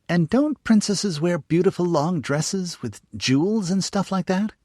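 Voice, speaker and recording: A man voicing an eager, blunt young girl who talks excitedly in a raised voice. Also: dry recording, clean studio sound. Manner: excited, high and quick.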